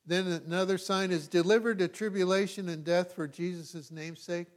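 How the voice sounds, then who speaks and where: A man with a Californian American accent giving an informal, conversational sermon as a pastor, folksy and self-deprecating, often halting and checking in with his listeners. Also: high voice, steady tone. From California, United States.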